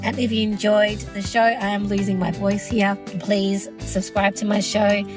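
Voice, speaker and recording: A woman's voice: mid-range voice, little pitch movement, unhurried, over music. A woman hosting her own podcast, losing her voice, speaking in an earnest, reflective and encouraging way. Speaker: podcast host. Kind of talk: solo podcast monologue.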